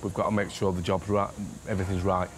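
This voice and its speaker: A man's voice: deep voice, little pitch movement, fast talker. A man who inspects railway carriages, explaining his checks in a practical, plain-spoken way. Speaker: carriage examiner. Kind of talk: casual interview. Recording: noisy workshop ambience.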